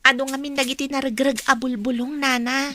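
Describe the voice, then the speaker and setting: A woman's voice: sits high, little pitch movement, fairly quick, pushed and bright, dry recording. An actress with an Ilocano accent playing a sweet, dutiful but wary young woman in a radio drama. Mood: gentle. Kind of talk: Philippine radio drama acting.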